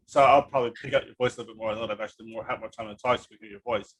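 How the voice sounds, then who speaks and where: A man hosting a podcast in casual conversation, talking in a halting, rambling, self-deprecating way. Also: medium pitch, steady tone, a bit bright. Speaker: podcast host. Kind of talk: casual interview chat.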